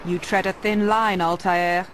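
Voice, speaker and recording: An actress playing a wry, independent young former Templar in a video game, with a measured delivery. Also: female voice, medium pitch, steady tone, a little hiss or hum, lightly acted. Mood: wistful. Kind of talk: scripted video game cutscene acting.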